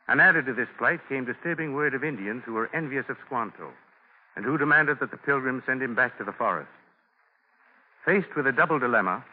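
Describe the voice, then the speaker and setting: Solemn male narrator on tinny old radio. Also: medium pitch. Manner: formal, solemn storytelling. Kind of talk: 1940s radio scripted narration. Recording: noisy, tinny 1940 radio broadcast.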